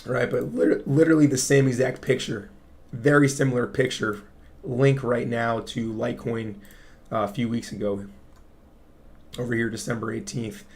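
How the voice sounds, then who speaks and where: A man with a raspy voice hosting a casual crypto livestream, explaining charts to viewers and often checking in with "right?". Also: medium pitch, steady tone, moderate pace, darkish tone. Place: home studio. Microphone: studio mic.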